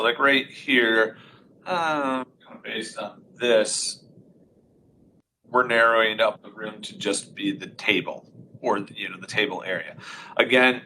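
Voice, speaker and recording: Loud, bright-voiced man demoing gear. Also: mid-range voice, a little hiss or hum, rough recording. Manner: loud, talkative, explains step by step. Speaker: audio installer demonstrating conferencing setup. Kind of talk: live technical demo. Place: conference room.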